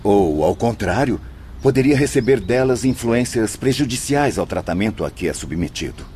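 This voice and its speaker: Man acting prudent male spirit mentor, low pitch, deliberate formal speech, radio drama, faint outdoor ambience. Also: lively intonation, darkish tone, slight background noise, lightly acted. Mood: cautioning.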